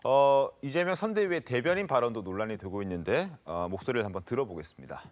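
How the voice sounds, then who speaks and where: Male news talk host, animated pitch. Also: quick pace. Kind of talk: broadcast news presenting and questioning panelists. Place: TV studio.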